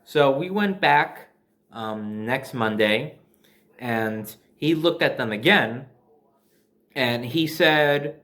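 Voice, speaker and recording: A man's voice: medium pitch, expressive, takes it slowly, fairly bright tone. Casual American man telling a personal story. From New Jersey, USA.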